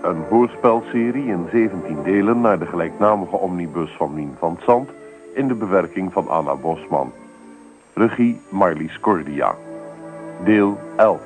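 A man's voice: deep voice, expressive, unhurried. A man announcing the title and credits of a radio drama series in a scripted style. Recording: music behind the voice.

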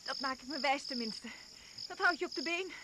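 A woman's voice: high voice, a little fast, lightly acted. An actress playing a wry, romantic young woman with hidden fear in a radio drama, on a noisy old recording. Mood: wry, wistful.

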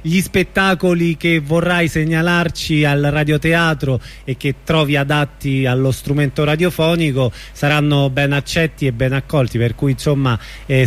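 Male radio interviewer, fairly high voice, informal and conversational.

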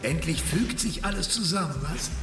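Cold, menacing crooked police chief, echoey. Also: male voice, fairly high pitch, lively intonation. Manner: cold, deliberate, threatening. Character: menacing, controlled corrupt police chief and enforcer. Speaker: actor in a crime radio play. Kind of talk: German radio drama acting. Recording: echoey room with music underneath.

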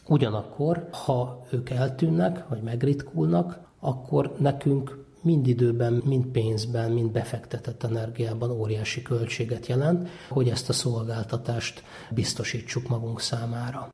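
A man explains informally, as if interviewed, in a dark, relaxed tone. His voice has plenty of creaky fry and sits at an average male pitch.

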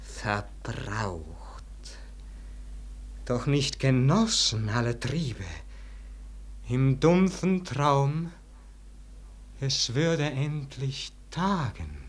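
An actor playing a weary, disillusioned nobleman in a classic German radio verse drama, declaiming solemnly and theatrically, at times falling to hushed tones. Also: medium pitch, lively intonation, very slow, pauses often. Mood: agitated regret. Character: weary, disillusioned nobleman brooding over an unlived life.

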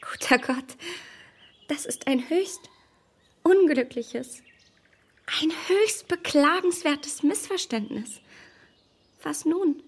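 A woman playing a self-assured young gentlewoman in a radio drama, formal and emphatic, shaken but keeping control. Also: high-pitched, animated, slow pace, long pauses, exaggerated voice. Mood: dismayed. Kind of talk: German radio drama acting.

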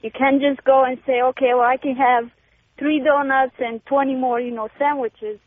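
A woman's voice: high-pitched, steady tone, moderate pace, no echo. A woman in her twenties with a Romanian accent, calling in to a radio show, speaking earnestly and firmly. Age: 20s. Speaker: caller. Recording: dry, tinny phone line.

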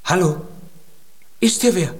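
A man's voice: high voice, slow, slightly rough, full character voice. An actor in a children's radio play, playing a tiny male giant who is naive, earnest and full of hopeful pluck. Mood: wary, curious.

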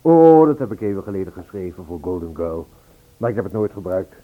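An actor playing a charming, flattering ex-husband, suave and effusive, in an old radio play recording. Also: male voice, low voice, a little fast, narrow, tinny audio, soft background music. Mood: delighted, flattering.

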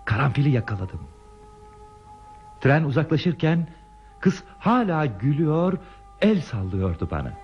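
A man's voice: a little high, sing-song, measured pace, pauses often. An actor playing a bashful, wistful man who recalls a lost youthful love in a hesitant, reflective radio drama style. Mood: nostalgic. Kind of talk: radio theatre acting. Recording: dull radio drama recording with music.

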